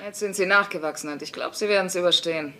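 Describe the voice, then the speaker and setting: A woman's voice: on the low side, fast, pushed and bright. An actress in a German sitcom dub playing a brash, sardonic working-class mother, blunt and full of wisecracks. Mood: wry.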